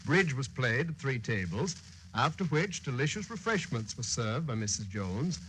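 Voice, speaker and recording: A pompous, bright-voiced radio orator. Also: male voice, mid-range voice, very animated, moderate pace. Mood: florid, proclaiming. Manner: florid, declamatory reading. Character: grandiose philosopher and small-town newspaper reader, pompous. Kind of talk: 1940s radio drama acting. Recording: noisy old 1940s radio recording.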